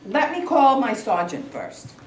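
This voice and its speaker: A woman's voice: sits high, very animated, measured pace, distant mic. A woman playing an exasperated, by-the-book police officer in a staged play reading, blunt and irritated. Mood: businesslike. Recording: echoey room from afar, clear noise.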